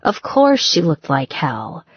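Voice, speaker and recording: A woman with a fairly low, husky voice reads scripted fiction. Her storytelling is expressive and measured, and the recording sounds slightly muffled and roomy. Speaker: audiobook narrator. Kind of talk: scripted romance fiction narration.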